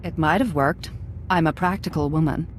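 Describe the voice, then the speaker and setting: A woman's voice: low voice, normal speed, a little hiss or hum, lightly acted. A woman playing a hard-headed, proud military general in a video game, speaking in a commanding, pragmatic way. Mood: cool defiance. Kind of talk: video game dramatic acting.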